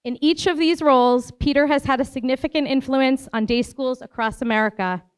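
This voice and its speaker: A woman reading a formal, measured introduction of a guest speaker at a school event. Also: high voice, clear, resonant tone. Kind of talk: read introduction. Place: school hall.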